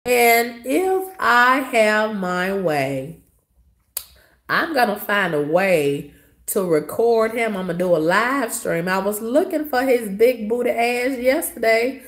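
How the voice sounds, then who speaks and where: Hoarse woman venting bluntly. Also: a little high, lively intonation, slow pace. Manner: blunt, profane, dismissive. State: hoarse, coughing. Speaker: vlogger. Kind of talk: casual storytelling to viewers.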